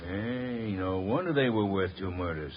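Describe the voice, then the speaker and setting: An actor playing a plain-spoken, matter-of-fact police investigator in a vintage radio mystery. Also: male voice, deep voice, animated, measured pace. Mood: satisfied. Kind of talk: 1940s-50s radio detective acting. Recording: muffled, noisy old radio recording.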